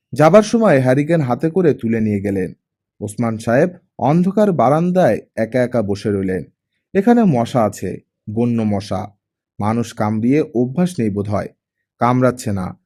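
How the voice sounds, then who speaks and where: Male storyteller for dramatic audiobook fiction, dark mellow tone, animated melody. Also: medium pitch. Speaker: audiobook narrator. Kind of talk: dramatic scripted story narration.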